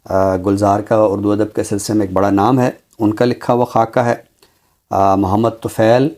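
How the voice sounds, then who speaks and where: A man with a Pakistani accent presenting a literary book review in a measured, scholarly, deliberate way. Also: fairly low pitch, darkish tone. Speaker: literary guest presenter introducing books.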